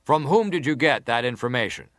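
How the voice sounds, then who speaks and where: A formal, pressing senator played by a man examines a witness in a historical drama. His mid-pitched male voice is deliberate and insistent, recorded dry with no room around it. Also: lively intonation, fairly bright tone, dry recording, natural voice. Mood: probing, formal. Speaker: actor reading an examining senator. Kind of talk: dramatised reading of hearing testimony.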